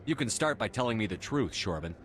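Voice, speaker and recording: Man acting a brisk, low-voiced military commander. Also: lively intonation, close to an everyday voice. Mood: stern, probing. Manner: direct, controlled, authoritative. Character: firm, no-nonsense male military commander hero. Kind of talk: video game voice acting.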